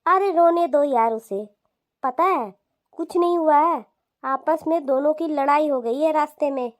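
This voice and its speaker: Woman doing a bossy cartoon mother in law, high voice, slow talker, snide and gossipy. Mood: dismissive gossip. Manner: mocking, dismissive. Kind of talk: animated family drama dialogue.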